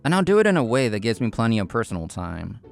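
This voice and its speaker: A man's voice: medium pitch, very animated, fast, vocal fry, faint music behind. A man playing a proud, competitive young consultant in a manga drama, intense and curt, narrating and speaking his lines. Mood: determined. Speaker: narrator and main character.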